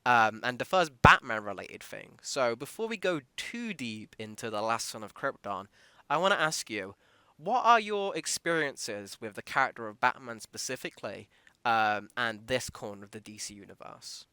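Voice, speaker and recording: A man's voice: medium pitch, expressive, moderate pace, bright, forceful tone, clean tone, no echo. A man hosting a casual behind-the-scenes podcast interview as the interviewer.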